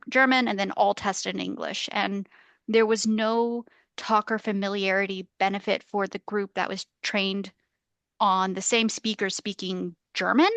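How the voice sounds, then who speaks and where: A woman's voice: mid-range voice, fairly bright tone, no echo. A woman researcher in an academic panel discussion on a video call, talking hesitantly with frequent restarts and fillers. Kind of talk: academic round-table discussion.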